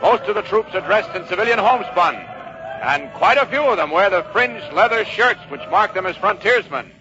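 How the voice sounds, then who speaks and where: An actor playing a persistent American field correspondent in a dramatized 1940s radio newscast, speaking crisply and descriptively. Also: male voice, sits high, animated, moderate pace, music in the background. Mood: expectant. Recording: dull vintage radio recording.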